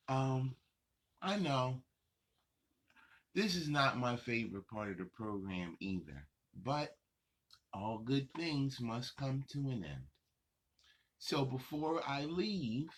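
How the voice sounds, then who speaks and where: A gravelly-voiced man hosts a story time for little kids. He takes his time, speaking slowly in simple, repeated phrases. His delivery is encouraging and reassuring, with a husky rasp. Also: medium pitch. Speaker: host of a children's story time show. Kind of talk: addressing young children directly.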